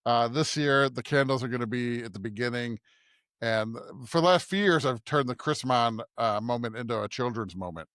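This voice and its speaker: An American man co-hosting a podcast as a pastor, chatting casually in a halting, conversational way. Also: mid-range voice, a bit bright, dry recording, studio quality.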